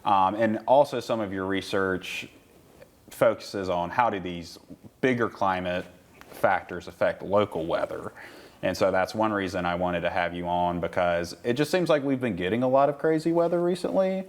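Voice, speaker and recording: A man's voice: low-pitched, moderate pace. A man hosting a university interview show, asking upbeat, enthusiastic questions. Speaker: host.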